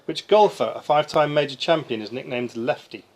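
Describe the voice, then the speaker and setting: A man's voice: medium pitch, brisk, over music. A man reading quiz questions aloud as quizmaster in a live contest. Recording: faint background noise.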